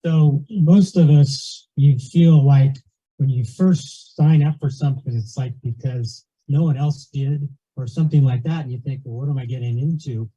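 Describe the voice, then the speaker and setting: A dull, lo-fi recording of a man with a very dark voice. He gives informal, encouraging remarks at a meeting in a husky tone. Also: medium pitch, moderate pace. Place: community meeting, captured on a video call. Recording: dull, lo-fi meeting audio over video call.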